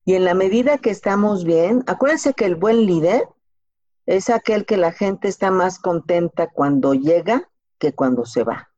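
A woman's voice: fairly low pitch, lively intonation, unhurried, dark tone. A woman with a Mexican accent answering casually as an interview guest, colloquially, with examples and rhetorical questions, on a slightly lo-fi recording. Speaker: podcast guest giving career advice. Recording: slightly dull, lo-fi sound.

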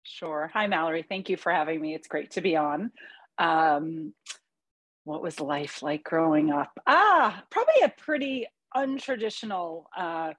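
A woman in her forties whose first language was Hungarian speaks in a lowish voice, recorded dry and close. She answers interview questions candidly and reflectively, often restarting sentences with fillers. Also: very animated, measured pace, a bit bright, dry recording. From United States. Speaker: podcast guest. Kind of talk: conversational interview.

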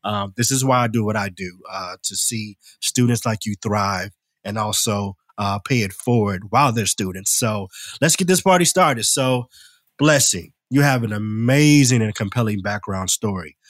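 A man's voice: lowish voice, moderate pace, dry recording. Upbeat male podcast host, dry sound. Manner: upbeat, with fillers and repeats. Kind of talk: interview introduction and chat.

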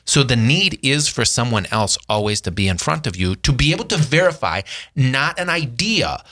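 A man in his forties in casual, reflective conversation, earnest and animated when telling a story. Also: medium pitch, moderate pace. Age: 40s. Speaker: brother in a family discussion.

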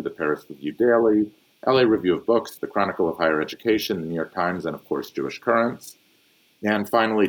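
A man with a very low, deep voice hosts a podcast panel. He reads his introductions in a measured, formal way. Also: poor audio. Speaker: podcast host, newsletter editor.

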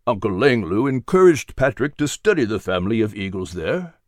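A narrator voicing a calm, wise and kindly male guide in a children's audiobook. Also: mid-range voice, fairly quick, no echo, studio quality, natural voice. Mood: reassuring.

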